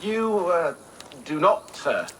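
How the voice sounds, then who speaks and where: A man's voice: sits high, big pitch swings, slow, slight background noise, full character voice. An actor playing a suspicious but deferential medieval soldier captain in a video game, hesitant and dutiful toward his lord. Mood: wary deference. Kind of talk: video game character acting.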